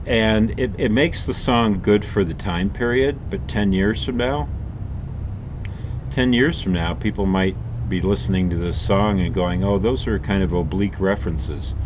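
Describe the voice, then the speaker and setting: Older man with a very deep voice, chatting. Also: muffled recording, noisy background. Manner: thoughtful, rambling, frequent "uh" and restarts. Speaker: reaction video host. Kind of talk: casual music commentary.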